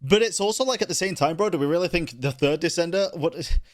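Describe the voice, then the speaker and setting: A man's voice: fairly high pitch, expressive, quick pace. A man reacting casually to a video, laid-back and slangy, swearing a bit.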